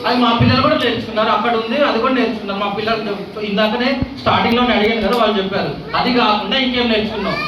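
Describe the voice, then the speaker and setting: A woman's voice: a little high, fairly even intonation, rapid-fire. A woman hosting a church children's puppet show, playfully questioning and coaxing the kids. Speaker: vacation bible school leader. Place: large church hall. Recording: distant echoey hall with crowd noise. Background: noisy children.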